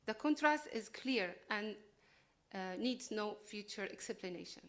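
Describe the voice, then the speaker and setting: A woman reading prepared remarks to a gathering in an earnest, deliberate way. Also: medium pitch, animated, fairly bright tone. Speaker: invited speaker.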